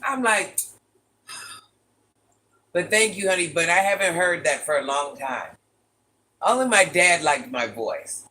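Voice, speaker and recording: An older woman with a very deep voice, hosting a casual livestream, reading viewer comments and playfully trash-talking. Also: lively intonation, takes it slowly, long pauses, bright, forceful tone. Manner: playful trash talk, sassy asides. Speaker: livestream host.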